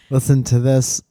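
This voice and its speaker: A man's voice: medium pitch, mellow, slightly rough, no echo, clean studio sound. Sick, teasing man podcast host. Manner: teasing, laughs often. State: sick with a cold. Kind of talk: casual chat.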